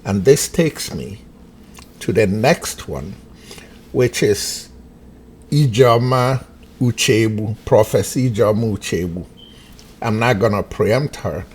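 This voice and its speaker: A man from Nigeria hosting an opinion show, speaking in slow, pointed, emphatic commentary. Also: on the low side, a little hiss or hum. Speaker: host.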